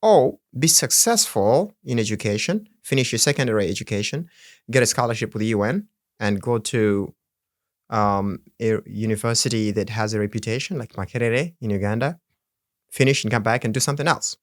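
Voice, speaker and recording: Guest: Swahili-speaking man from the Congo, earnest, quick to laugh, dry studio sound. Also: fairly low pitch, dry recording. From Democratic Republic of the Congo. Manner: earnest, laughs often, restarts words. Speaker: podcast guest. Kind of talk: casual interview chat.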